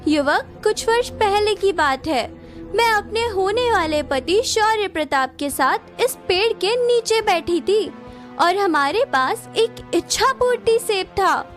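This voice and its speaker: A woman's voice: very high voice, animated, measured pace, a bit bright, faint music behind, full character voice. A woman voicing a young, assertive female monkey, an enchanted merchant's daughter, in a cartoon story. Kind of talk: Hindi moral-story cartoon acting.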